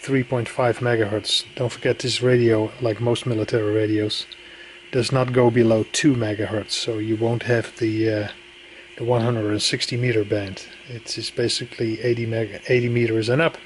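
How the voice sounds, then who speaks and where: A man giving a casual, hands-on equipment demonstration with halting, informal explanations. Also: mid-range voice, little pitch movement. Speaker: radio enthusiast presenting equipment. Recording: clear background noise.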